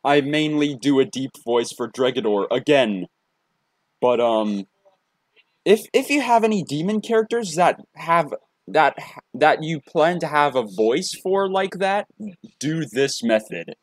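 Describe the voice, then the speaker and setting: A man presenting a casual software tutorial, talking in a halting way and often repeating his phrases. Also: on the high side, expressive, dry recording, clean studio sound. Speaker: tutorial presenter and hobby voice actor.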